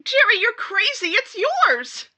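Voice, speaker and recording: Actress as high-voiced, distraught young wife. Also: female voice, sing-song, pushed and bright, dry recording, exaggerated voice. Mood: pleading, frantic. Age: young adult. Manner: emotional, halting, pleading. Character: distraught young wife confessing, pleading and wounded. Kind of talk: 1950s-style radio crime drama acting.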